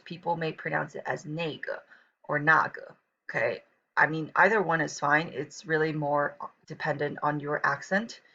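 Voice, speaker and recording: A woman Chinese teacher with a southern Taiwanese accent, reading practice sentences slowly with casual asides to her students. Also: sits low, creaky, pushed and bright. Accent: southern Taiwanese Mandarin. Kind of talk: recorded pronunciation lesson. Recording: dull lo-fi recording.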